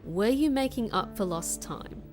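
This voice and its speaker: A woman's voice: medium pitch, animated, unhurried, faint music behind, lightly acted. An actress playing a perceptive woman in an audio drama, sincere and laid-back with a teasing edge. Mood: sincere, curious. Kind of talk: acted audio drama dialogue.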